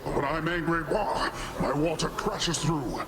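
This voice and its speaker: A man's voice: a little high, a little slow, heavy background noise, lightly acted. A man acting a boastful, goofy middle-aged sea god uncle in a video game, with a grand, jovial theatrical delivery. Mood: blustering, rousing.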